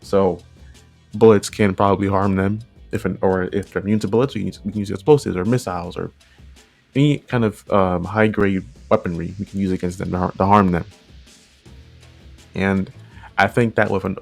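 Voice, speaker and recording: A man's voice: deep voice, fairly even intonation, a little fast, vocal fry, a little mellow, soft background music. A man giving casual, unscripted commentary, rambling along and often repeating and rephrasing himself. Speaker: video creator, narrator.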